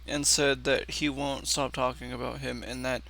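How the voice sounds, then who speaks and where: A man's voice: flat delivery, normal speed. A man hosting a casual reaction video, reading aloud and commenting, often stumbling over words, repeating phrases and swearing. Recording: clearly noisy, loud background rumble.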